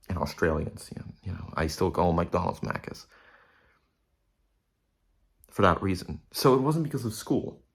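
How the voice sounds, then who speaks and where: Young man, deep low voice, blunt, rambling vlog talk. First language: Polish. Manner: rambling, blunt, swears freely. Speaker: vlogger. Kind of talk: casual monologue to camera.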